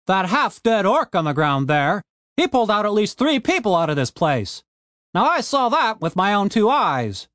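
A man doing a gruff cursing gnome. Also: sits high, sing-song, moderate pace, fairly bright tone, no room sound, clean studio sound, voice pushed up. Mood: angry. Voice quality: coarse, swearing, blunt. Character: gruff profane crippled male gnome innkeeper.

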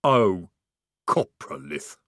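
Big male troll, slow and rumbling, voiced by a man, very slow pace, dry sound. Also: very animated, fairly bright tone, no room sound, heavily acted. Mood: slow, dim.

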